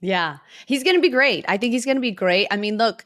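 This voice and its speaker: A woman's voice: a little high, lively intonation, fairly quick, dry recording, clean studio sound. A woman hosting a sports podcast, chatting casually about football in an informal, upbeat manner.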